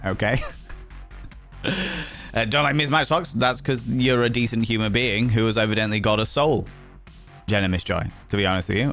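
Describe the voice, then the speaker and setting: A man's voice: on the low side, creaky, a bit bright. A man with a Yorkshire accent, chatting casually with his live-stream audience, cheeky and teasing, swearing freely. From Yorkshire, England.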